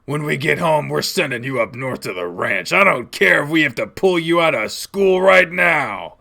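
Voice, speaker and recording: A man's voice: mid-range voice, expressive, normal speed, creaky, bright, forceful tone, full character voice. A man voicing a contemptuous, bullying animal father in a dramatic story, scolding with menace. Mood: disgusted.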